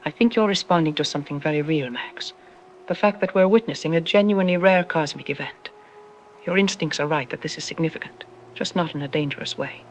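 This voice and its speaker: A woman playing a calm, reassuring scientist who explains things in a measured way, as an expert guest in an audio drama. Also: low-pitched, close to an everyday voice, rough recording. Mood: gentle, reflective.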